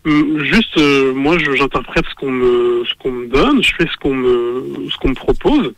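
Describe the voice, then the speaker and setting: A man answering questions in a radio interview, halting and full of fillers and restarts. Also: medium pitch, animated, fairly bright tone, no echo, muffled recording. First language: French. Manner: hesitant, many fillers and restarts. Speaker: guest artist, singer and actor.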